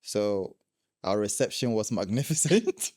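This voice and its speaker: A man's voice: lowish voice, lively intonation, measured pace, darkish tone, no room sound, studio quality. A young man from Ghana, a guest telling his travel stories in a casual interview, laughing often.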